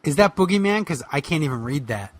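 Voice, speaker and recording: A man's voice: on the high side, lively intonation, quick pace, no room sound, studio quality. A man co-hosting a podcast in casual comic banter, sounding baffled and complaining. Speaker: podcast co-host.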